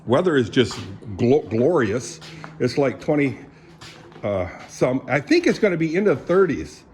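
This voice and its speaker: A man in his seventies, born in Indonesia, talking in a rambling, halting way, full of fillers and frequent throat clearing. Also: medium pitch, sing-song, a little mellow. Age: 70s. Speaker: vlogger. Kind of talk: rambling casual monologue.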